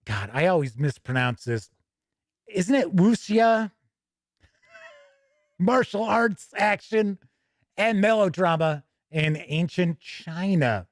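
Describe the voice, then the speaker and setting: A man's voice: sits high, sing-song, slow pace, long pauses, no room sound, clean studio sound. A man hosting a tabletop gaming news stream, reading items aloud casually and adding chatty, informal asides.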